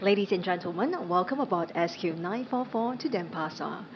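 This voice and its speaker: A woman's voice: on the low side, animated, normal speed. A woman working as cabin crew makes a live scripted announcement to passengers in an aircraft cabin. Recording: dull cabin PA, loud aircraft noise. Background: loud aircraft noise.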